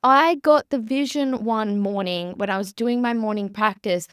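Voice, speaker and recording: A woman's voice: a little high, normal speed, fairly bright tone, smooth, dry recording, clean studio sound. A woman from rural South Australia with an Australian accent, hosting a casual solo podcast in an earnest, chatty way, full of fillers and restarts. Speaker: podcast host and business coach.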